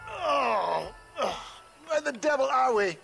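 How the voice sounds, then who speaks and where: A wounded American frontier huckster, wails in a very high, creaky voice. He is florid and melodramatic, weak with pain, with faint music behind him in a game cutscene. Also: very animated, takes it slowly, a bit bright, full character voice. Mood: dying melodrama. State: in pain, weakened. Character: wounded, theatrical, flowery-tongued old West swindler. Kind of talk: video game cutscene acting.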